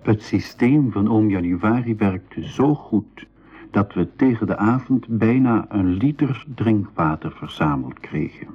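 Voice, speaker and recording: An actor playing a thoughtful nephew who narrates his world journey in a measured way, with a Belgian Dutch accent, in a school radio play. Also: fairly low pitch, lively intonation, slightly rough. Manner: measured, storytelling. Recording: phone-like, narrow 1980s radio recording.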